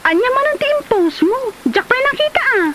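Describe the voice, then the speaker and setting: A woman acting as a nosy female neighbor with an Ilocano accent. Her voice is high and very lively, teasing and exclamatory, as in a comedy drama. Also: normal speed, background noise.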